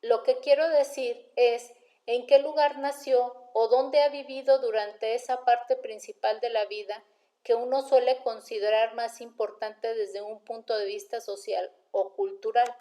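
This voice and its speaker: A woman's voice: a little high, fairly even intonation, a little slow, dark tone, close to an everyday voice. A woman voicing a stern, patient male police detective in an audiobook, questioning formally with cool sarcasm. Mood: patient, icily sarcastic. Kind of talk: audiobook character dialogue.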